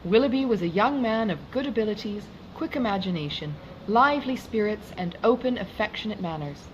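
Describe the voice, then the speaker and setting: A woman reading a classic novel aloud as an audiobook, with formal, expressive phrasing. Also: medium pitch, fairly quick, highs cut off, slight background noise. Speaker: audiobook reader.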